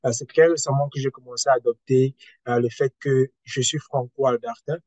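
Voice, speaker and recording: A man's voice: mid-range voice, steady tone, normal speed, a little mellow, no room sound. A young man from Cameroon with a Cameroonian French accent, speaking as a panelist and sharing personal stories in a hesitant way with many filler sounds.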